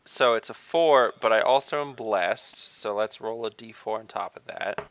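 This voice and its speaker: Bright, gravelly man playing a tabletop game. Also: lowish voice, moderate pace, no room sound, dull audio. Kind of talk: casual online game chat.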